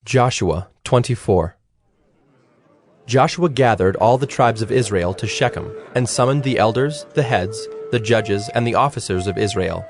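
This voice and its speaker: A man's voice: on the low side, normal speed, faint music behind. A man narrating scripture in a scripted, dramatized audio reading.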